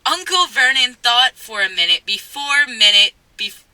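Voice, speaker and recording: A woman's voice: on the high side, lively intonation, unhurried, bright, forceful tone, no echo, poor audio. A woman narrating a comic dramatic story reading in a playful, mock-dramatic way, often stumbling over her lines.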